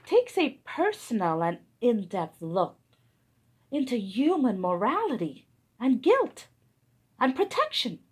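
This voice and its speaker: A woman's voice: on the high side, wildly swooping pitch, slow, breathy edge, exaggerated voice. Woman doing a grave old-time male author. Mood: solemn. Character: stately 19th-century male author, grave and self-serious. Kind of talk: school skit recitation.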